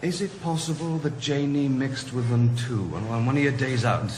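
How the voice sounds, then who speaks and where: A man's voice: medium pitch, normal speed, a little mellow, reverberant, slight background noise, natural voice. A man playing a detached, probing rehab doctor in a radio drama. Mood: clinical. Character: clinical male rehab doctor, cool and pointed.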